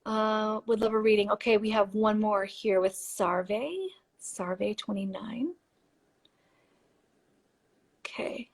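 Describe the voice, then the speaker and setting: A woman hosts a live stream, chatting and giving oracle card readings in a gentle, unhurried way. Her voice is breathy and slightly hoarse, and it sits at an ordinary middle pitch. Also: level tone. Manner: warm, chatty, reads card texts softly. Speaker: podcast host and intuitive reader. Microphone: phone or computer mic for a live stream.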